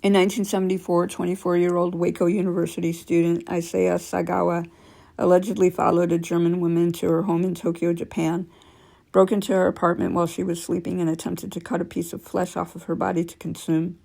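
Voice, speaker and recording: A woman's voice: lowish voice, flat delivery, a little fast, creaky, dark tone. A woman with a scratchy voice reading creepy true stories aloud, stumbling now and then and correcting herself, with casual remarks between stories.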